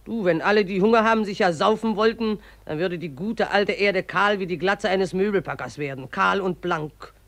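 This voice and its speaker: An actress in a radio play voicing the river itself as a gruff, mocking old woman who scolds in an earthy, derisive way. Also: medium pitch, moderate pace, few pauses, no room sound, natural voice. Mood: stern, scolding. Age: older.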